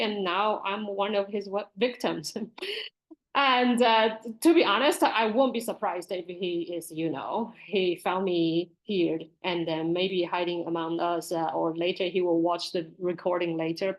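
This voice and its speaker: Halting middle-aged woman with a Taiwanese accent. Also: medium pitch, rough recording. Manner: halting, with frequent repeated words and nervous laughter. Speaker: presenter, school librarian and author. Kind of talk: informal online presentation. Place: online video call.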